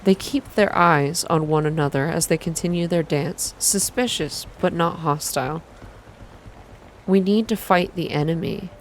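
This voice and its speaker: A woman with a low voice narrates a visual novel in a soft, calm reading style. Her delivery is slow and hushed, with some background noise on the recording. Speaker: reader of a visual novel for an online channel.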